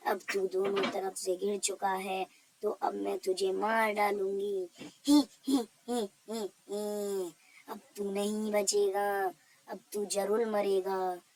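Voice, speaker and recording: A woman's voice: mid-range voice, flat delivery, a little mellow, poor audio. Woman voicing a menacing, cackling man-eating ghost woman. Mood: gloating menace. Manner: taunting, threatening, drawn-out cackles.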